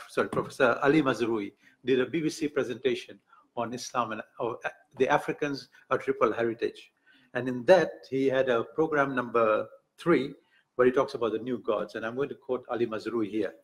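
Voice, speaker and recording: A man from Tanzania giving a conference speech, halting and self-correcting, with light humor. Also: medium pitch, fairly bright tone, low-quality recording. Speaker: speaker at an academic book event.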